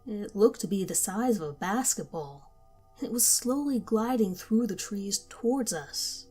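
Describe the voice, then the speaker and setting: A woman narrating a first-person horror story in a calm, measured storytelling manner. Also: medium pitch, breathy edge. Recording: faint background music.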